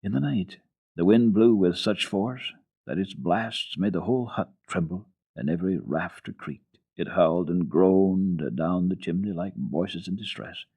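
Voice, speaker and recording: A man reading a children's story for radio in an expressive, deliberate, theatrical way. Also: sits low, husky, phone-quality sound.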